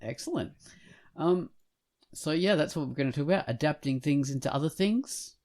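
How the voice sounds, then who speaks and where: A man co-hosting a podcast, chatting and reviewing in an animated, rambling, jokey manner. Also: on the high side, moderate pace. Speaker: podcast co-host. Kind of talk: casual podcast chat and featured comic review.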